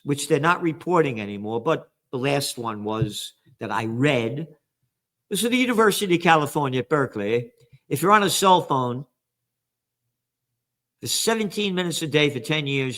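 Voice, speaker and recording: An older man hosting a news commentary, reading headlines and ranting with angry, sarcastic, profane remarks that swing from low mutters to shouts. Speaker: trends commentator and host.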